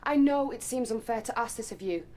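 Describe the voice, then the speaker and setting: A woman's voice: a little high, animated, fairly quick, darkish tone, close to an everyday voice. A woman playing a dutiful young female lieutenant in a video game, giving formal, urgent briefings. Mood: grave. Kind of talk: video game cutscene acting. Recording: faint background noise.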